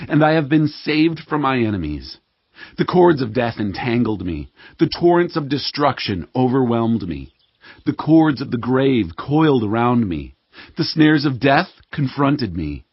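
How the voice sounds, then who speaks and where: Fervent warrior king, dramatically expressive. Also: mid-range voice, breathy edge, no room sound. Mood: reverent, grateful. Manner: solemn, fervent, declamatory. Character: devout warrior king reciting a psalm of praise. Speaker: Bible voice actor. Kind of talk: dramatized scripture reading. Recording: dull audio with music behind.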